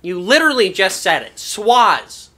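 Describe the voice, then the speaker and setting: A man doing a self-important TV therapist who hosts the show and badgers his patient. His voice sits a little high and jumps up loud whenever he insists on a point, as in an improvised comedy sketch. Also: sing-song, unhurried, heavily acted. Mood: insistent. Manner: bossy, loud pitch jumps when insisting. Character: pushy, self-important male TV therapist, blunt and bossy. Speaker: host.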